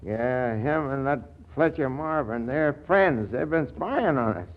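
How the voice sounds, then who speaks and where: An actor playing a cranky, folksy crackpot farmer in a 1940s radio comedy, with an accusing manner. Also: male voice, medium pitch, expressive, measured pace, lightly acted. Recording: dull vintage 1940s radio recording.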